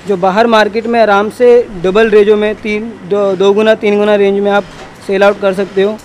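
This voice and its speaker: Man in his twenties, high-pitched, video host, presenting to camera, interview questions, repeated answers. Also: a little mellow, a little hiss or hum. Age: 20s. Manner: repeats answers back to viewers. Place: garment factory workroom and sample room. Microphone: handheld mic with windscreen.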